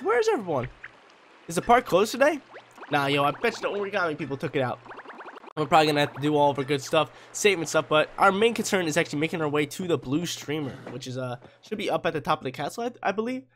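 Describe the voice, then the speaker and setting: Young male let's-play commentator, a bit hoarse, fast and chatty, somewhat high voice, headset mic in a room. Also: a little hiss or hum. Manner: hyped, chatty, slangy. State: slightly hoarse from a sore throat. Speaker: gaming YouTuber. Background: faint game music.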